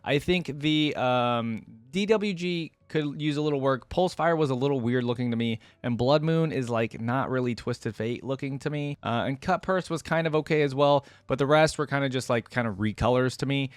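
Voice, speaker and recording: A man's voice: medium pitch, normal speed, hardly any pauses, clear, resonant tone, no echo. Man casually reacting, dry close sound. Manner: informal, thinking out loud. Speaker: reaction video host.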